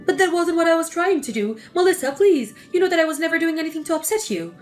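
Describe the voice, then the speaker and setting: A woman's voice: extremely high pitch, animated, fast talker, faint music behind. A woman voicing a spiteful, scolding older mother-in-law in a scripted text-story drama. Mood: defensive. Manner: scolding, contemptuous.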